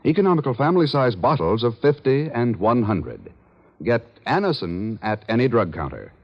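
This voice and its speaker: A man's voice: medium pitch, big pitch swings, moderate pace. A man announcing and reading ads in a polished, emphatic 1950s radio style. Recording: muffled vintage radio broadcast, music behind.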